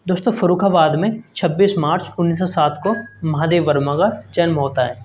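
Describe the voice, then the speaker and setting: Guy doing exam quiz prep, voice a bit high, kinda dull lo-fi audio. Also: fairly quick, a little mellow, a little hiss or hum. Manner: reads out options, keeps addressing viewers as friends. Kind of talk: quiz-style exam coaching lesson. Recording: dull lo-fi sound.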